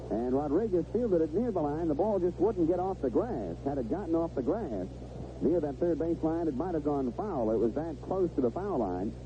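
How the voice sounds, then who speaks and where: Animated baseball radio announcer, man, noisy vintage broadcast. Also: on the high side, lo-fi sound. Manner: clipped phrases, describing each play as it happens. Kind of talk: live radio sports commentary. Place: stadium radio booth.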